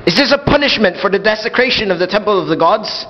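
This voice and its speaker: A man's voice: high voice, animated, fast, highs cut off, a little hiss or hum. A man playing a dutiful, tender king in a radio play of Greek myth, speaking solemnly in formal old-fashioned diction. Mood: troubled. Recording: reverberant hall sound, clear music behind.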